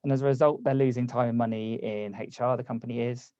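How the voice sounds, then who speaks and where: A man's voice: mid-range voice, fairly even intonation, quick pace, warm tone, no echo, lo-fi sound. A man hosting a live online workshop over a video call, casual and conversational, losing his voice. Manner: casual, conversational, reads chat aloud. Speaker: workshop host.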